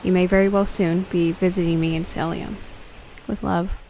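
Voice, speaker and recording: A woman's voice: on the low side, little pitch movement, moderate pace, mellow, slight background noise, natural voice, rough recording. Woman playing earnest young army cadet. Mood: warm, earnest. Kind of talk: audio drama acting.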